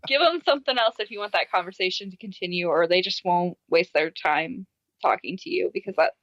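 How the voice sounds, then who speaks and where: A woman co-hosting a casual podcast, animated as she acts out quoted messages and laughs easily. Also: fairly low pitch, a little fast, dry recording, dull audio. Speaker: podcast co-host.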